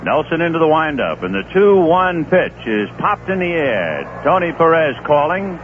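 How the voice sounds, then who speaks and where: A man doing radio play-by-play of a baseball game, easygoing and folksy, with chatty asides between pitches. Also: sing-song, normal speed. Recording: tinny old AM radio broadcast with faint hiss.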